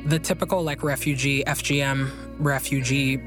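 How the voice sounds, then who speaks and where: A woman's voice: very deep voice, little pitch movement, normal speed. Woman as interview guest, candid and informal, leaning on repetition for emphasis, music playing underneath. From Guinea and Belgium. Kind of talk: candid casual conversation.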